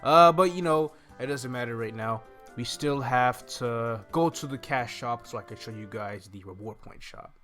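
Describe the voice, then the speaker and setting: A young man hosts a casual gaming walkthrough, explaining each step informally. His voice is bright and forward at an ordinary male pitch. He speaks close to the mic, with faint music playing underneath. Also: normal speed. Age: young adult. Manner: informal, mildly profane, talking through each step. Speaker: gaming video host. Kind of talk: casual game walkthrough commentary. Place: indoor room at home. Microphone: desk microphone close to the mouth. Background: faint game music.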